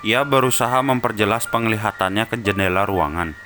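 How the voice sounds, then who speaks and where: A male narrator reads a scripted story in a fairly deep voice. Music plays clearly behind his narration. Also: a little fast.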